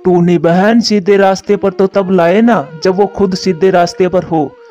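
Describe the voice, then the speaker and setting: A man plays a weary old cartoon father bird, mellow and unusually high for a male. He laments plaintively, full of disappointment. The audio is dull and lo-fi, with music beneath. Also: fairly even intonation, a little slow. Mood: bitter lament. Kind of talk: Hindi cartoon voice acting.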